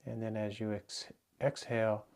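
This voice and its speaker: A man guiding a meditation as a teacher, giving gentle, unhurried instructions with pauses. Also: lowish voice, flat delivery, gravelly. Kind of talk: guided meditation.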